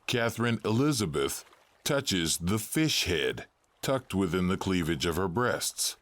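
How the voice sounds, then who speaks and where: A man's voice: low voice, sing-song, unhurried, fairly bright tone, dry recording. A man narrating stage directions for a scripted audio drama.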